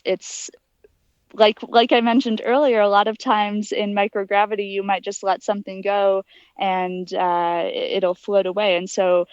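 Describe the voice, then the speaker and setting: A woman's voice: medium pitch, level tone, measured pace, clean tone, dry recording, highs cut off. A young adult woman giving conversational, explanatory answers as a guest in an interview. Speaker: interview guest, aspiring astronaut.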